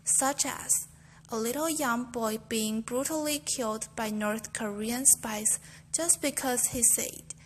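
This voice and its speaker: Young female student, careful earnest recitation, slightly high voice, light background noise. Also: slow, rough recording. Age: young adult. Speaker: student reciting a famous speech. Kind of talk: memorized speech recitation.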